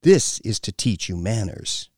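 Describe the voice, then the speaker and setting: A man's voice: on the low side, big pitch swings, a little slow, darkish tone, dry recording, clean studio sound. A man voicing a stern, domineering foreign woman in an audiobook, with a commanding, clipped and scornful delivery. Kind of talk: audiobook character dialogue.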